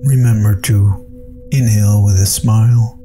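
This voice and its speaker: Man, guided meditation, lowish pitch, very slow delivery, soothing, long pauses, clear music behind. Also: fairly even intonation. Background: meditation music with singing bowls.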